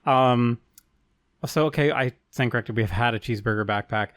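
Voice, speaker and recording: A man's voice: mid-range voice, a little fast, fairly bright tone, no echo. Dry-sounding young man, rambling podcast chat. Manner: loose, rambling, swears casually. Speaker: podcast co-host. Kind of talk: casual chat.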